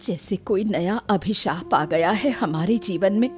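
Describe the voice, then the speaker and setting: A woman's voice: mid-range voice, unhurried, over music, lightly acted. A woman playing a fearful, despairing mother in a cartoon drama, speaking with worry and sorrow. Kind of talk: animated moral story drama.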